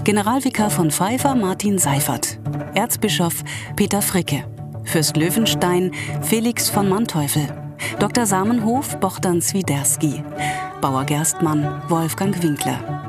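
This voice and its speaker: A woman's voice: on the low side, expressive, unhurried, music in the background. A woman announcer reading scripted radio drama credits in a formal way.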